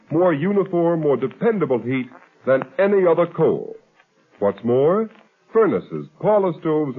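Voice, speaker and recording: A male announcer with a husky, fairly high voice delivers an emphatic commercial. His pitch swings widely as he sells, and the old broadcast comes through tinny and thin. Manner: emphatic, sing-song salesmanship. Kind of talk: 1930s radio commercial.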